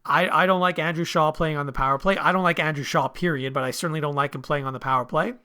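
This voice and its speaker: A man's voice: fairly high pitch, steady tone, fast talker, pushed and bright, clear, resonant tone. A man co-hosting a sports podcast in casual conversation over a video call.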